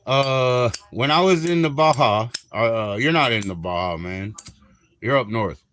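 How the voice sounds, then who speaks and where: A man's voice: medium pitch, animated, a little slow, a bit bright, slight background noise. A man from Minnesota hosting a live stream, chatting casually in a crude, joking, rambling way. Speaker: livestream host.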